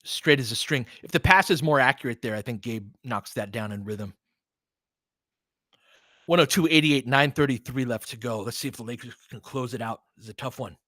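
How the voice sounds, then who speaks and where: A man giving informal, partisan live basketball commentary as host, excitable, with whoops and casual swearing. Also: mid-range voice, normal speed, no echo.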